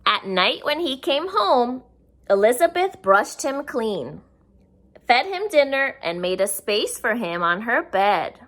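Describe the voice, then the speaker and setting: Bright, slow, high-pitched woman reading to children. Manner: expressive, simple explaining asides to children. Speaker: children's story reader. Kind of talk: read-aloud picture book narration for young children.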